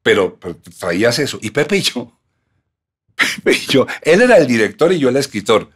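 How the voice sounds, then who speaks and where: A man's voice: medium pitch, sing-song, moderate pace, a bit bright, slightly rough. An older man hosting a podcast, talking with enthusiasm and stammering restarts in a small studio. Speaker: podcast host and writer. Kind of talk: casual podcast conversation. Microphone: studio mic on stand.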